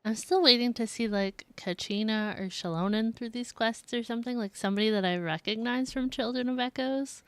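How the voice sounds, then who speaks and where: Fairly high-voiced woman streaming a game. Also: fairly quick, hardly any pauses, smooth, dry recording. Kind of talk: casual live game commentary. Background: game music.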